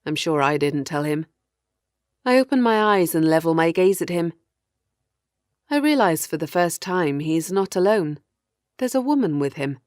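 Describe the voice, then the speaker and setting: A low-pitched woman reading as a captive woman of thirty recounts her ordeal in a horror audiobook. The delivery is measured and grim, weary and afraid. The sound is dry and close, with no room around it. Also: lively intonation, darkish tone, no room sound. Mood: uneasy, horrified. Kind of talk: first-person audiobook horror narration.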